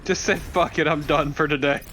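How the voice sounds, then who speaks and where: A man's voice: a little high, fairly even intonation, brisk, fairly bright tone, a little hiss or hum. A man co-commentating on a video game in a casual, joking style. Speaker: let's play co-commentator.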